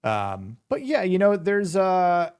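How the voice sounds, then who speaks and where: A man's voice: high voice, wildly swooping pitch, slow, a little mellow, clear, resonant tone, no echo. A man hosting a livestream, chatting casually and rambling with many fillers and restarts into a close mic. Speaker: streamer and show host.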